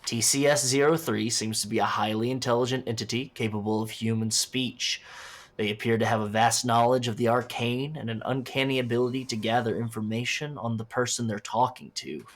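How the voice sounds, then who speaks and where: A man's voice: medium pitch, fairly even intonation, brisk, close to an everyday voice. A man playing a flustered, underprepared agent in an audio drama, hesitant and muttering as he conducts an interview. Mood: wary narration. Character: anxious, underprepared male agent interviewing dangerous entities. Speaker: field interviewer. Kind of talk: scripted audio drama dialogue.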